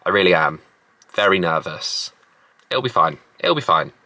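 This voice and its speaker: A man with a croaky, hoarse voice, chatting casually to his vlog camera with joking asides. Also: sits low, lively intonation, moderate pace, pushed and bright. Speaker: family vlogger. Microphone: handheld vlog camera.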